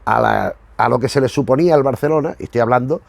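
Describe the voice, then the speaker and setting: Man, casual retro review host, digressive, rewording often, mid-pitched, very dry sound. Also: quick pace, creaky, dry recording. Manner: digressive, searching for words with repeated rewording. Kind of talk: casual rambling commentary.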